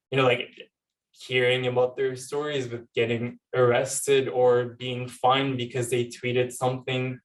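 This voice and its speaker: A young man talks informally and a little hesitantly on a panel, telling personal stories with plenty of "like". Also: mid-range voice. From Turkey. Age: young adult. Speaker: panel speaker, law student and activist. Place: panel event at a university. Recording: distant microphone at live event.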